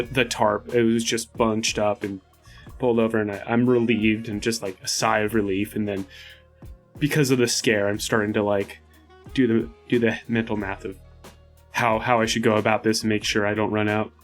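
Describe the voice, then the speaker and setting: Halting man improvising game narration. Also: on the low side, a bit bright. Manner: halting, thinking aloud. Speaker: tabletop role-playing player.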